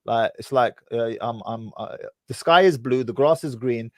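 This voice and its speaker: A man's voice: mid-range voice, moderate pace, no echo, clean studio sound. A young man hosting a live stream who interrupts, cross-examines and explains at length in a debating call-in chat. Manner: lectures in long explanatory runs.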